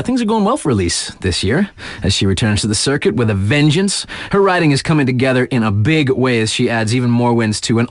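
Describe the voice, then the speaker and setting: A cocky young radio DJ from a snowboarding video game. His mid-pitched voice swings widely through slangy, playful hype, with swagger in every line. The sound is dry and close, like a studio booth. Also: dry recording. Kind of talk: hyped radio sports spotlight patter.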